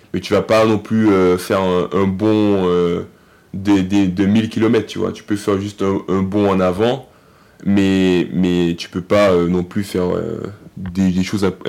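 A man with a fairly low voice answers questions as a podcast guest and talks about his sport. He keeps stopping to restart his sentences and often tags them with a conversational "you see". The delivery is loose and unscripted. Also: a little mellow. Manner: halting, many fillers and restarts, says "tu vois" often. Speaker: athlete guest. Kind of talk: casual podcast interview.

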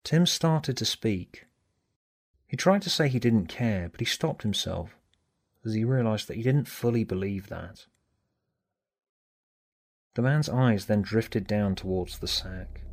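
A man reading scripted story narration in a measured, deliberate way. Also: lowish voice, animated, darkish tone. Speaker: author and narrator.

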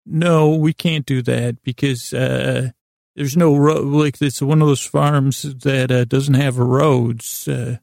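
Male podcast host, creaky mid-pitched voice, dry close sound; meandering, self-deprecating bedtime rambler who keeps interrupting himself. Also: fairly even intonation, darkish tone, no echo. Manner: halting, meandering, self-interrupting with false starts.

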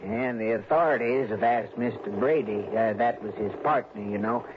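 A man's voice: lowish voice, normal speed, lightly acted. A man playing a friendly, folksy fishing resort manager with an American accent in a 1960s radio drama, chatty and deferential. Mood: earnest, confiding. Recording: dull, noisy vintage radio recording.

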